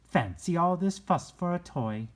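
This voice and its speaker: A man voicing a brisk, grumbling woman nanny from a storybook, fussy and exclaiming. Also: fairly high pitch, expressive, pitched above the performer's own voice. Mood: brisk, irritated.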